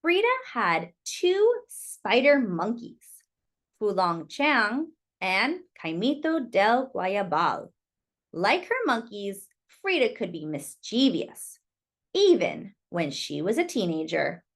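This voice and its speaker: A woman's voice: medium pitch, very animated, slow pace. A woman reading a picture book aloud to young children, expressively and with little asides to her listeners.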